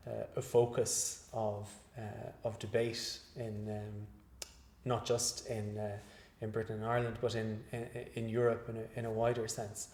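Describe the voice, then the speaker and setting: A male researcher giving an interview after a lecture, speaking in a measured, hesitant way with many fillers and restarts. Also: lowish voice, flat delivery, darkish tone. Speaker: guest researcher. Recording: echoey room.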